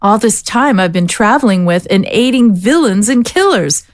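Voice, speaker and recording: A woman's voice: mid-range voice, expressive, moderate pace, no room sound, studio quality, close to an everyday voice. A woman playing a righteous, severe swordswoman in a fantasy audio drama, accusing and indignant in manner. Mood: stern, accusing. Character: stern, righteous female swordswoman of a warrior order.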